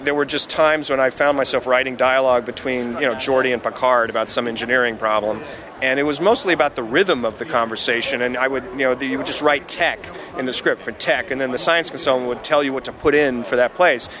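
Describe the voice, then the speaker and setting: A man being interviewed about screenwriting, telling a wry anecdote and playfully mocking jargon in quick put-on dialogue. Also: medium pitch, a crowd in the background.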